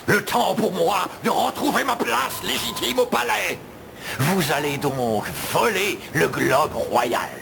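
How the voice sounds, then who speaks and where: A man's voice: sits high, expressive, slow pace, vocal fry, noisy background, heavily acted. A man playing a grandiose, menacing, scheming cartoon villain who wants a throne, in French dub acting. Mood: ominous. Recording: loud music under the voice. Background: loud music.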